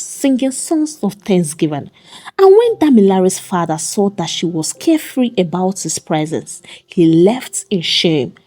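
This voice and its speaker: A woman telling a folk tale in an animated storytelling style. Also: medium pitch.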